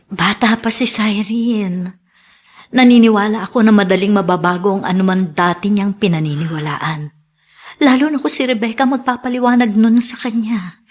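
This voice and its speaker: A woman's voice: mid-range voice, moderate pace, natural voice. An actress playing a tender, forgiving mother who longs for her child, earnest and emotional in melodrama style. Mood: hopeful, earnest. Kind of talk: Filipino melodrama acting.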